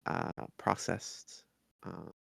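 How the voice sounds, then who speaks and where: A man co-hosting a podcast, discussing things in a measured, thoughtful and somewhat hesitant way. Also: fairly bright tone, dry recording, studio quality. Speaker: podcast co-host. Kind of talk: casual analytical discussion.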